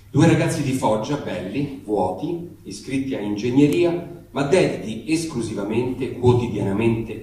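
A man's voice: medium pitch, mellow. A man giving a wry, comic reading of a letter, told as a story. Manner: wry storytelling, acting out dialogue. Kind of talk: comic literary reading before a microphone. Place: echoey room, picked up from afar. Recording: very echoey, distant mic, faint noise.

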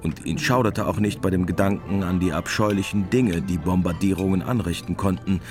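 Music runs behind a low male voice. The man delivers scripted literary narration for a radio play. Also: steady tone, a little fast.

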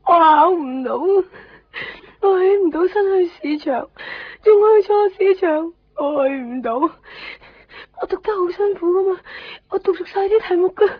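An actress playing a childlike, fretful young student in a radio drama, with a plaintive, whiny way of talking. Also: female voice, very high-pitched, animated, a little slow, no room sound. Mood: tearful, distressed. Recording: dry, muffled 1990s radio drama.

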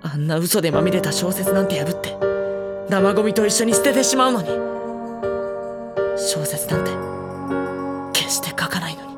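A man playing an introspective, gentle high-school boy who narrates his troubles in an audio drama. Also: normal speed, long pauses, close to an everyday voice. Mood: anguished. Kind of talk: Japanese drama CD acting, monologue and dialogue. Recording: studio drama with prominent music underneath. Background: prominent instrumental music.

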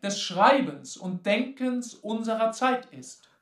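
Solemn playwright, high voice, measured formal delivery, roomy radio-drama sound. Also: male voice, animated. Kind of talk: formal speech in a radio play. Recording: roomy, distant from microphone.

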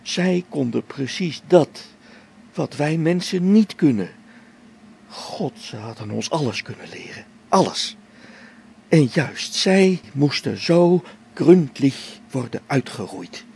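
A gravelly, grieving space explorer. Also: male voice, on the high side, animated, exaggerated voice. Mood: anguished. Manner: brooding, halting, sinks to hushed whispers. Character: grieving male space explorer, faith shaken. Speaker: log-keeping space expedition member. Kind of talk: radio play monologue.

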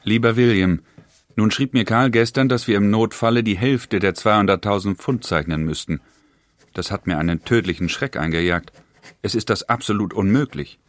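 A man's voice: lowish voice, normal speed, no echo, lightly acted. A man reading a cautious elder brother's letters. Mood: measured. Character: cautious older German industrialist brother writing letters. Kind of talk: reading historical letters in a radio feature.

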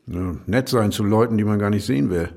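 A man's voice: fairly low pitch, brisk, creaky, darkish tone. Man playing a cryptic, knowing village barkeeper. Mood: dry. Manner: teasing, knowing, evasive. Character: unruffled, cryptic older male village barkeeper. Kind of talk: naturalistic German audio drama dialogue.

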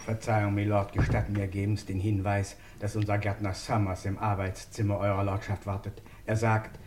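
A man playing a stiff, obsequious butler in a German radio crime play, formal and measured, on a slightly noisy old recording. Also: on the low side, flat delivery, a little mellow, lightly acted. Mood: deferential.

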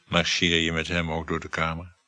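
An actor in a radio play, playing an insecure, jealous married lover who gives hesitant, short, mumbled replies. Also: male voice, low-pitched, completely flat delivery, normal speed, pushed and bright, no room sound, faint music behind. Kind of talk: naturalistic radio play acting.

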